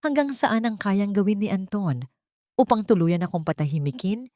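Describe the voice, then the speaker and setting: A woman's voice: medium pitch, expressive, normal speed, dark tone, no room sound, studio quality. A woman with a Filipino accent reading scripted, dramatic first-person narration as a storyteller.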